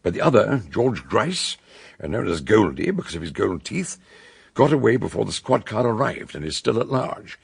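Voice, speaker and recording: An actor in a radio detective drama playing an eccentric older doctor, a grave scholarly sleuth who speaks in a ponderous, theatrical way, with a gravelly, husky voice. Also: male voice, on the low side, big pitch swings, normal speed, full character voice. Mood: dry, grave.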